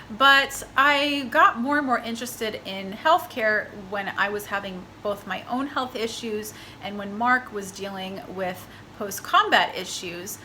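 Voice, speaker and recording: A woman's voice: medium pitch, pushed and bright, a little hiss or hum, poor audio. A young woman from Texas, chatting upbeat in a casual vlog introduction. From Texas, USA. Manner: upbeat and chatty, with light laughs. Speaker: vlogger, military spouse.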